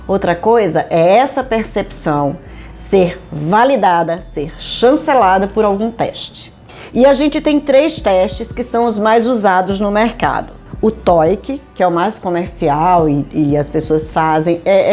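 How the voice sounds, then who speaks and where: A woman's voice: mid-range voice, darkish tone. A middle-aged Brazilian woman from Manaus with a local accent, an HR consultant speaking straight to her viewers in a conversational, emphatic way, full of rhetorical questions. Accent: Brazilian Portuguese, Manaus. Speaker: HR consultant and career advisor. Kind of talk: direct-to-camera talk addressing viewers. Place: home studio in Manaus.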